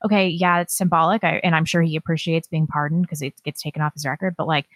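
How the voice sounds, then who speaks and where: A woman's voice: sits low, steady tone, no echo. A woman co-hosting a podcast who answers bluntly and skeptically in casual political chat. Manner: blunt, skeptical, quick reactions. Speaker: podcast co-host.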